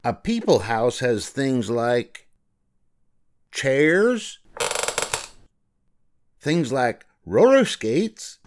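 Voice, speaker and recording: An older man narrating a children's storybook in a deliberate, expressive read-aloud. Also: medium pitch, background noise.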